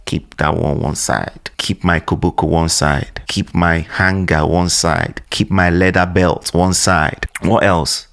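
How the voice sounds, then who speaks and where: Low-voiced man scolding animatedly. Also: takes it slowly, vocal fry, hardly any pauses. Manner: animated, scolding rhetorical questions. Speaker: commentator. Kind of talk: opinionated commentary.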